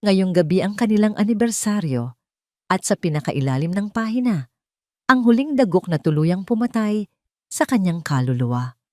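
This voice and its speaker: A woman's voice: mid-range voice, very animated, moderate pace, dry recording, clean studio sound. A woman with a Filipino accent reading a scripted, dramatic love story as its narrator.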